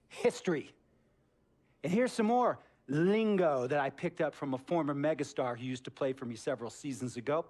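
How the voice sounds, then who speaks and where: An actor playing a flamboyant, bullying billionaire who owns a basketball team, theatrical and preachy, in a video game cutscene. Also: male voice, mid-range voice, breathy edge. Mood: smug, threatening. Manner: theatrical, preachy, builds from hushed to shouting.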